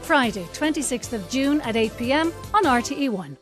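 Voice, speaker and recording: A woman's voice: sits high, lively intonation, normal speed, over music. A woman reading a scripted programme promotion as a television announcer. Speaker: TV continuity announcer.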